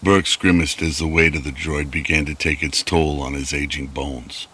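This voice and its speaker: A man's voice: very deep voice, steady tone, normal speed, bright, forceful tone, breathy edge. A man reading scripted narration for an old-style radio drama.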